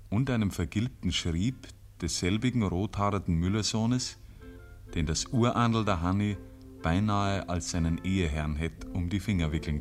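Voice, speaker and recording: A man's voice: low voice, natural voice. A man with a Bavarian accent narrating a rural tale in a literary radio play. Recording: 1950s radio recording.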